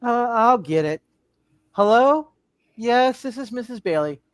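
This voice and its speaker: A woman playing a concerned, patient wife and mother, gently reassuring, in a live radio play reading. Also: on the high side, expressive, measured pace, no echo. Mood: concerned, polite.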